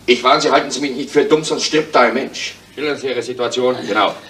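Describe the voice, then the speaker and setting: An actor playing an agitated, menacing man in a hostage standoff, forceful and excitable, in a crime radio play. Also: mid-range voice, a little fast, distant mic, lightly acted. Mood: threatening. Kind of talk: 1970s German crime radio play acting. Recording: roomy echo, faint noise.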